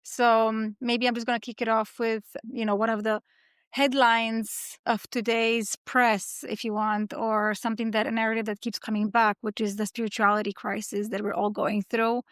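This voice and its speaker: A woman host from western Romania with a Romanian accent, measured and a little hesitant as she interviews a guest. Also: a little high, a bit bright, clean tone, no room sound, studio quality. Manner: measured, with hesitant ums and restarts. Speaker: podcast host. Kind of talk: interview podcast.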